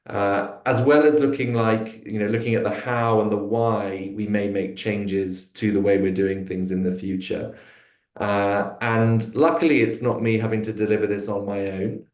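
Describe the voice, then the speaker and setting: A man's voice: fairly low pitch, moderate pace, a little mellow. A man hosting a business webinar over a video call, hesitant with many fillers and restarts. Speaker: webinar host. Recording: echoey room.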